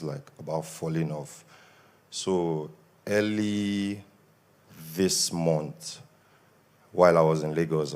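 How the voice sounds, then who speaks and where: A young Nigerian man with a Nigerian accent gives an earnest testimony at a church service, his voice a little worn from shouting. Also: extremely low pitch. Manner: earnest, grows more emphatic. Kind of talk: spoken testimony.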